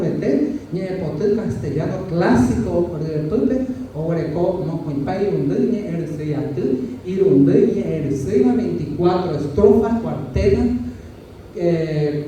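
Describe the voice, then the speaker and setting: A man with a fairly high, warm voice presents his thesis in a halting, earnest way. He is picked up from a distance in a very echoey room, with a faint hiss underneath. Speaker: student defending his thesis. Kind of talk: formal academic presentation. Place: university lecture room.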